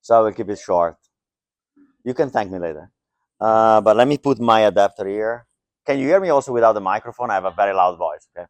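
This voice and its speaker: A man's voice: lowish voice, expressive, a little fast, no room sound. A middle-aged man giving a joking, loose tech talk to a live audience, with lots of fillers. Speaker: conference speaker. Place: lecture hall.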